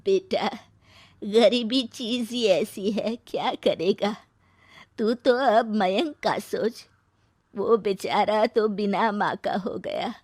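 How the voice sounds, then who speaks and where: A woman storyteller voicing a gentle, resigned older mother who consoles slowly. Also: medium pitch, steady tone, dry recording. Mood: sorrowful advice.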